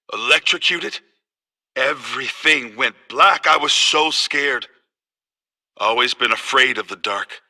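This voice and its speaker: A man's voice: a little high, bright, forceful tone, heavily acted. An actor playing an electrocuted man turned power-hungry villain in a brooding, intense video game monologue. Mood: haunted, shaken.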